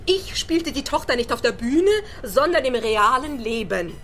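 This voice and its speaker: High-voiced, nervous, defensive woman. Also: animated, unhurried, fairly bright tone, a little hiss or hum. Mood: guarded. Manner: flustered, hesitant, indignant. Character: nervous, defensive woman, a stage actress in trouble. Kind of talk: radio crime play dialogue.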